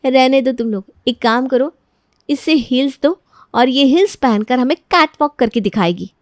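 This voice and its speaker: A woman's voice: high voice, expressive, a little slow, pauses often, no room sound, studio quality, pitched above the performer's own voice. A woman voicing a cocky young female college senior who taunts and bosses, acted for a moral story. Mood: mocking, bossy. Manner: taunting, bossy. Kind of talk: Hindi moral story character acting.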